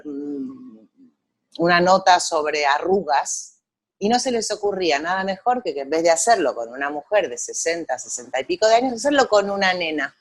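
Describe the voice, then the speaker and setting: An Argentine woman in middle age speaks as a guest in an interview. She tells her life story in a relaxed, reflective way, with frequent pauses and hesitations while she gathers her memories. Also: on the low side. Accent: Argentine Spanish. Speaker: guest photographer. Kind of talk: conversational interview over a call.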